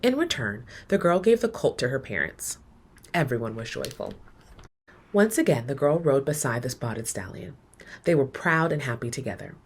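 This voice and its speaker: A woman reading a children's storybook aloud in an expressive, measured storytelling manner, letting her phrases end softly. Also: very deep voice, slight background noise. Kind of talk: storybook read-aloud, scripted narration.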